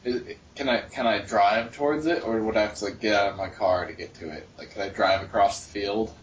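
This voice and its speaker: A man playing a tabletop game, eagerly announcing what his character does in halting bursts. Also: on the low side, recorded from a distance, lo-fi sound, a little hiss or hum. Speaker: player.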